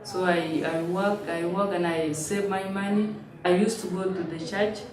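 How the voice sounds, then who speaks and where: A woman, telling her story in halting, simple English with frequent restarts. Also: fairly low pitch, steady tone, a crowd in the background. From South Sudan. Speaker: interviewee, refugee. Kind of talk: personal testimony in an interview. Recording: roomy, distant mic, noisy ambience.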